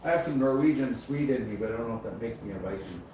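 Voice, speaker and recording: Off-mic male co-host; relaxed, brief; roomy and noisy. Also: mid-range voice, fast. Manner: laid-back, brief replies. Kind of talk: casual chat. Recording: distant voice in an echoey, noisy workshop.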